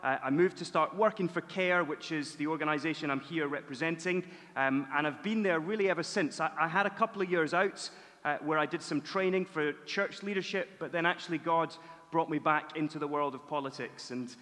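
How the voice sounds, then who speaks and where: A man from Scotland with a Scottish accent gives a prepared talk to a church audience as a guest speaker, in a measured, earnest and emphatic manner. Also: a little high, fairly bright tone. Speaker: charity director and guest speaker. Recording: echoey hall, long reverb.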